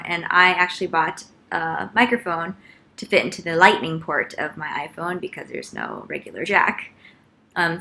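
A woman's voice: on the low side, pushed and bright, slight background noise. A young adult woman talking casually and informally to camera in a living room, giving a chatty how-to as a vlogger. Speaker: vlogger and teacher. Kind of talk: casual tutorial talk to camera. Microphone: laptop mic at about arm's length.

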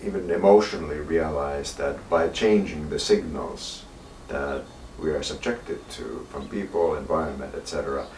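Male interviewer, very low voice, thoughtful, off-mic with room echo and faint noise. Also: moderate pace, darkish tone. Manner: thoughtful, hesitant phrasing. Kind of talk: asking questions. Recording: roomy, distant off-camera mic.

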